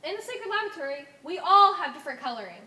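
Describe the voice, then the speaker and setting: A woman's voice: extremely high pitch, expressive, fast, bright, forceful tone, reverberant, exaggerated voice. A woman playing an earnest, deferential alien in an amateur stage play, explaining things in a careful, theatrical way. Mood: cautious, earnest. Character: earnest, deferential female alien, member of a cell.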